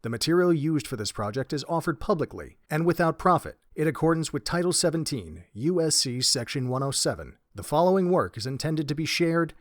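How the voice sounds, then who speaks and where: A man narrating a fan audio drama in a measured, expressive storytelling manner. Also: a little high, dry recording, clean studio sound. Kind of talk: scripted audiobook-style narration.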